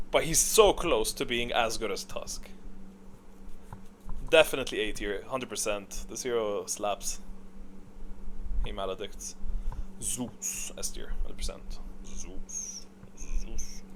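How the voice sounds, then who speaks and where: A man's voice: medium pitch, a bit bright, a little hiss or hum. A man giving casual, off-the-cuff commentary on a game tier list, bluntly opinionated, thinking aloud and repeating himself for emphasis.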